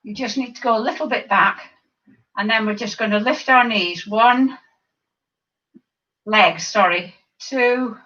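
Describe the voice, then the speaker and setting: A woman over fifty with a croaky voice, leading a seated exercise class, counting reps and adding chatty asides. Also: fairly high pitch, measured pace, long pauses, sharp tone. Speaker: fitness instructor. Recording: distant mic, dull lo-fi sound.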